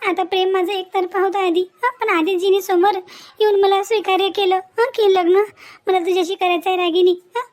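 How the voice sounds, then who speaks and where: A woman's voice: very high voice, little pitch movement, a little fast, a little mellow. A woman voicing an earnest, self-doubting young bride in a cartoon family melodrama, pleading and repeating her phrases. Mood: anxious, earnest.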